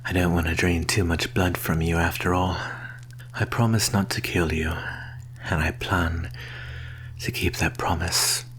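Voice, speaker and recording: Man as a low, half-whispering male vampire. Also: level tone. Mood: sated, pleased. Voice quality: soft, breathy half-whisper. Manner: slow, deliberate, formal wording. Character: predatory male vampire, sly, coaxing and quietly menacing. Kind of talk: ASMR roleplay. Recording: clear background hum and noise.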